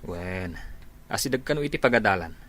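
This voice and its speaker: A man's voice: fairly low pitch, animated, brisk, fairly bright tone. A man playing an inquisitive, chummy young friend in an Ilocano comedy radio drama.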